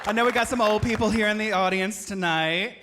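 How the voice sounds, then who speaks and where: A man's voice: high voice, fairly quick. A drag queen comedian teasing the audience in stand-up, on stage with a handheld mic. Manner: cheeky crowd teasing. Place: theater stage with live audience. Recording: loud crowd and noise.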